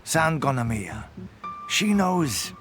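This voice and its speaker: An actor playing a laid-back, sleepy soldier who keeps to himself, sighing and unhurried, in a video game. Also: male voice, a little high, sing-song, music in the background. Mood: drowsy, content. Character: laid-back, drowsy loner soldier who wants only rest.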